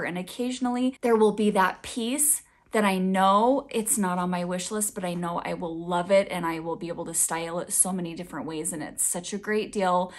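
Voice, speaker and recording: A woman in her thirties, a fashion vlogger, giving a chatty, upbeat budget update while her voice is still a little raspy from being sick. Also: medium pitch. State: slightly raspy, scratchy voice from recent illness. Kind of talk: casual budget update vlog.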